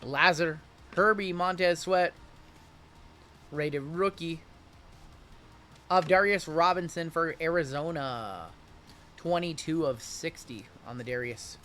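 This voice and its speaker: A man's voice: a little high, takes it slowly, long pauses, pushed and bright, slight background noise. A man in his late thirties hosting a live card break, chatting casually with viewers while rattling off player names from each pack. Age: 30s.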